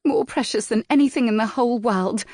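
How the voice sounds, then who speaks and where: A woman's voice: fairly high pitch, fairly quick, darkish tone, dry recording, studio quality, pitched above the performer's own voice, lightly acted. A woman voicing a timid, distraught young lady's companion in an audiobook, pleading and fretful. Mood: despairing.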